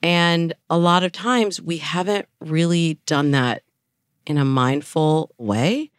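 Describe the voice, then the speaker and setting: A woman's voice: sits low, slow pace, smooth, no room sound. Woman dating coach explaining stuff, emphatic, chatty, really dry recording. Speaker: dating coach, interview guest. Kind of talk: conversational expert advice.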